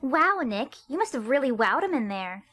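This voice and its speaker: A woman's voice: high-pitched, very animated, normal speed, sharp tone, exaggerated voice. A woman voicing a perky, curious teenage girl sidekick in an amateur game fandub. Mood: eager. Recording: music faintly behind the voice.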